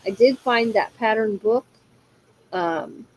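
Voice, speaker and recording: Slow, chatty woman host. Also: a little high, slight background noise, rough recording. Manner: slow, chatty, laughs often, many fillers. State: slightly hoarse from allergies. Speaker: crafting livestream host. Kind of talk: casual livestream chat, reading comments aloud.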